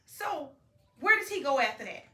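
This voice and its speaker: A woman telling a story to a young child as a mother, animated, prompting with questions and repeating the answers. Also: high voice, moderate pace. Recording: roomy, distant home recording with faint noise.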